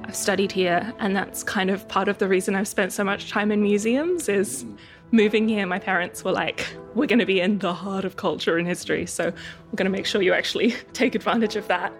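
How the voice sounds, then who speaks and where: A woman's voice: mid-range voice, a little fast, soft background music. A woman historian, speaking in a friendly, thoughtful way. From London. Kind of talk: casual conversation.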